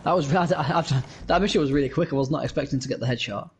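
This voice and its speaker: A British man co-streaming a game, talking in a relaxed way with stammering restarts. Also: a little high, very fast, a little hiss or hum. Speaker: co-streamer guest. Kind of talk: casual gaming stream chat.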